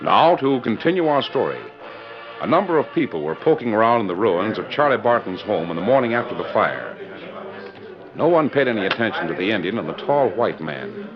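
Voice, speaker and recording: A man's voice: fairly low pitch, big pitch swings, brisk. A man announcing and narrating a 1940s radio western in a formal, theatrical style. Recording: muffled vintage 1940s radio recording. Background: music.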